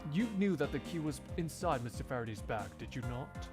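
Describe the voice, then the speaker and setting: A man playing a shrewd, composed young male prosecutor in a video game fandub, formal and deliberate. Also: medium pitch, animated, over music, lightly acted. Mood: confident, pressing.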